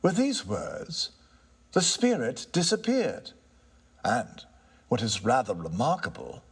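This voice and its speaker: A man's voice: mid-range voice, wildly swooping pitch, slow pace, breathy edge. A man narrating a literary story expressively, as a reader of classic fiction.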